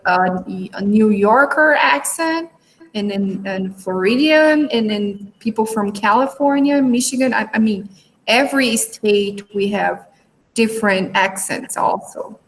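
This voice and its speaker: A woman's voice: mid-range voice, unhurried, slight background noise, poor audio. A woman coordinator hosting a school live stream, speaking hesitantly and often stopping to search for words. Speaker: language coordinator and host.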